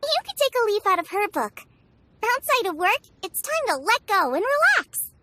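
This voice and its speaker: A woman's voice: very high-pitched, very animated, moderate pace, sharp tone, full character voice. A woman voicing an upbeat, easygoing teenage girl chef in a video game, with a girlish, frank and encouraging delivery. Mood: reassuring. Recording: clean studio voice over game music. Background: tinkly children's-style game music.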